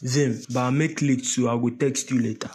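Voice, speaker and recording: A man with a Ghanaian accent and a husky voice playing a defensive, resentful teenage son from a poor home in an audio drama. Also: medium pitch, fairly even intonation, normal speed, darkish tone, close to an everyday voice. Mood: casual, defensive. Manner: sullen, defensive.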